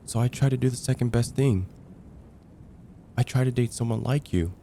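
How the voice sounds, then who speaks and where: A man playing a lovestruck young heartbreaker friend in an ASMR roleplay, speaking in an earnest, halting, stammering way. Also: medium pitch, mellow, slight background noise, lightly acted. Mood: regretful, vulnerable. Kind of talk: ASMR roleplay, intimate dramatic monologue.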